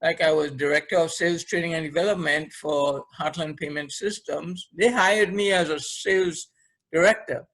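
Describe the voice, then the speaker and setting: Higher-pitched Trinidadian man, podcast guest. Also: fairly even intonation, normal speed, a bit bright. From Trinidad and Tobago. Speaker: podcast guest, leadership trainer. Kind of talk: conversational interview, recounting career.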